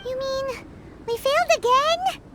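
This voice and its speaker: A woman voicing a tiny childlike fairy companion in a fantasy game, squeaky and exclamatory. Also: unhurried, heavy background noise. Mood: curious. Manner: exclamatory, questioning, refers to self in third person. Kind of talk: scripted video game voice acting. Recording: loud background music under voice.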